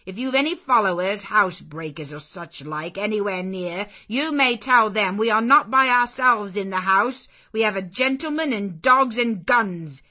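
Woman reading as a gruff old servant. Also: lowish voice, measured pace, a bit bright. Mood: sharp. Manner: gruff and plain-spoken. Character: blunt suspicious elderly woman servant.

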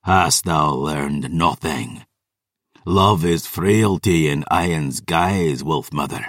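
A man voicing an ancient cruel vampire lord who speaks slowly and low with archaic, sneering words, in an audiobook. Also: fairly bright tone, no echo, studio quality, heavily acted. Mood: scornful. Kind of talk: audiobook character voice.

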